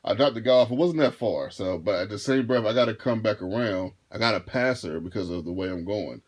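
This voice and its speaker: A deep-voiced man in his thirties telling a casual storytime to his viewers, rambling and restarting his phrases as he goes. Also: animated, fairly quick. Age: 30s. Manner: laid-back, rambling, repeats and restarts phrases. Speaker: vlogger and rideshare driver.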